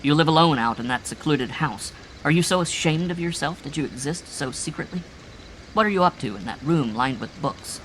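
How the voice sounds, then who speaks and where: A stubborn young doctor who keeps firing pointed questions. His voice is bright and firm, not far from an ordinary speaking voice. A man reads him in a storybook. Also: a little high, lively intonation, brisk, a little hiss or hum, voice pushed up. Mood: accusing. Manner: sharp, questioning.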